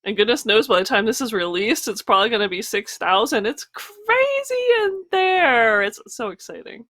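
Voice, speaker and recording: Bubbly woman with lively, laughing delivery. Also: a little high, no room sound, clean studio sound. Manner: bubbly, laughs often, quick reactions. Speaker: podcast co-host. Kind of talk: casual chat.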